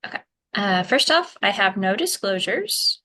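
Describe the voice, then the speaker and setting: A young woman with a soft voice, a physician giving a medical lecture over a video call, hesitant with many ums and self-corrections. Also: medium pitch, very animated, unhurried. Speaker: physician presenter. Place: small indoor room. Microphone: webcam mic on a video call.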